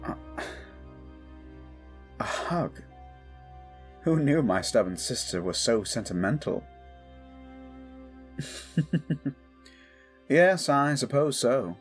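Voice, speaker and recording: Man acting slow-spoken, protective young king. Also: mid-range voice, expressive. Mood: tender, teasing. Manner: formal, measured, earnest phrasing. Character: protective young king, stubborn, tender toward his sister. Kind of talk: romantic audio drama acting. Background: background music.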